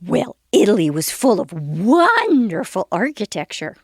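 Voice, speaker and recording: An elderly woman in a comedy sketch, dreamy and theatrical. She savors her words as she reminisces, with a pitch that swoops widely, in a close, dry recording. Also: wildly swooping pitch, measured pace, no echo, exaggerated voice. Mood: wistful, relishing. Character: elderly woman reminiscing wistfully about racy youth.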